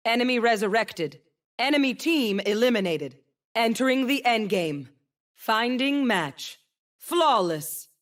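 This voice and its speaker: Poised female announcer for a tactical shooter: unhurried, authoritative, clipped calls with lively intonation. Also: on the high side, exaggerated voice. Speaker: game announcer. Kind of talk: short scripted match announcements.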